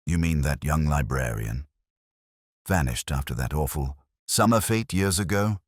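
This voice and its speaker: A man's voice: very deep voice, lively intonation, unhurried, no room sound, heavily acted. A man voicing a meek, suspicious village reverend in a mystery story, with a wary, dry manner. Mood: surprised, doubtful.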